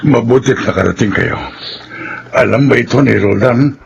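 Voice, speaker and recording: Gravelly old Filipino grandfather. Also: medium pitch, moderate pace, dull audio, noisy background. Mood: resolute, reassuring. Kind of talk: radio drama acting.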